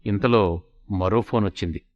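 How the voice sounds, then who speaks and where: A man's voice: fairly low pitch, moderate pace, dull audio. A man narrating a scripted comedy story as its storyteller.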